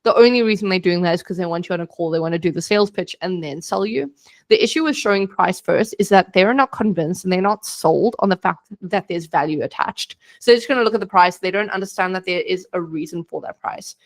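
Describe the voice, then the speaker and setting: A woman's voice: on the low side, a little fast. A young woman giving direct, practical business coaching on a video call, casual and plain-spoken.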